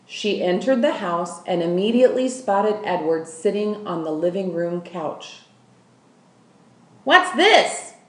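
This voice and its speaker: A woman's voice: on the low side, unhurried, hardly any pauses, a little mellow, distant mic. A woman reading a children's story aloud as scripted narration. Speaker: school staff member reading aloud. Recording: echoey room.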